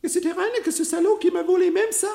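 Squeaky, whining little dog. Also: female voice, gravelly, warm tone, heavily acted. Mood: plaintive, indignant. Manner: rapid, plaintive complaining. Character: small yapping French-speaking dog, whining and plaintive. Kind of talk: radio drama character voice.

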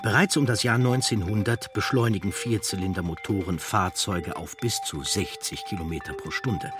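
A man with a low voice narrates a documentary from a script. His delivery is measured and factual, with music in the recording.

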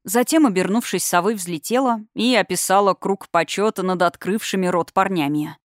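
A woman's voice: mid-range voice, moderate pace, no echo. Woman narrating fantasy fiction, dry recording. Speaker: audiobook narrator. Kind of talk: expressive scripted narration of a fantasy novel.

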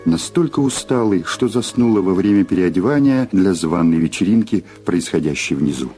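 A man's voice: low voice, expressive, normal speed, mellow, slightly rough. A man narrating a Russian audio drama in a dramatic scripted storytelling style. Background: music behind the voice.

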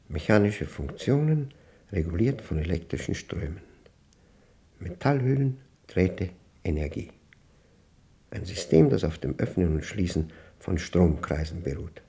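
A gravelly, brooding older man. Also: lowish voice, warm tone, full character voice. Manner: halting, deliberate, introspective phrasing. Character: aging, solitary man brooding over a humming machine. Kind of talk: radio play monologue.

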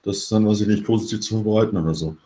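A man's voice: on the low side, fast talker, dark tone. A man co-hosting a casual panel discussion on a podcast. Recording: clear background noise.

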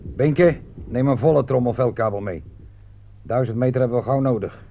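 An actor in a radio play playing a gruff, weary army sergeant with a blunt, commanding but fatherly way of talking. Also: mid-range voice, moderate pace. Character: gruff, weary army sergeant looking after his young soldiers. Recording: muffled, crackly old radio play recording.

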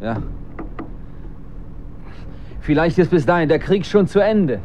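Ein Schauspieler spielt in einem deutschen Hörspiel naturalistisch einen skeptischen Mann, der fragend und zögernd nachhakt. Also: male voice, a little high, animated, slow pace. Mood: casual. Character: sceptical, probing male friend piecing together a mystery. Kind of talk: naturalistic German radio drama acting. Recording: car and street noise behind.

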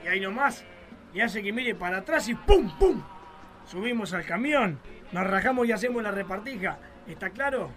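A man's voice: sits high, lively intonation, normal speed, a bit bright. An actor playing a scheming, sneering Argentine rich man in a radio drama, bossy toward the police chief. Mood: plotting. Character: scheming rich rancher villain.

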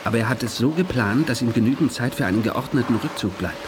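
An actor playing a tense, brave technician and secret agent with terse, urgent delivery in a radio drama. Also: male voice, medium pitch, lively intonation, quick pace, natural voice. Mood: hushed urgency. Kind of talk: 1980s German radio drama acting. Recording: loud machinery noise behind.

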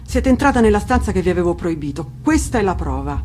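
A woman's voice: on the low side, big pitch swings, fast talker, darkish tone, slight background noise. A woman voicing a wrathful, murderous husband in a fairy tale, commanding, thunderous and pitiless. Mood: angry, accusing. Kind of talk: dramatic fairy-tale reading. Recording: music under the voice.

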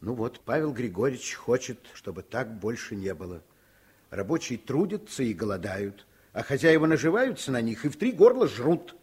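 An actor playing a principled, gruffly affectionate middle-aged father, patient, teasing and explanatory, in a Soviet radio play. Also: medium pitch, sing-song, moderate pace, darkish tone. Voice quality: husky.

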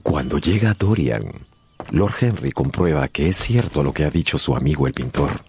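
A man narrating a radio drama as a scripted, dramatic story, with a gravelly voice. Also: very deep voice, a little mellow. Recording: music under the narration. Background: music.

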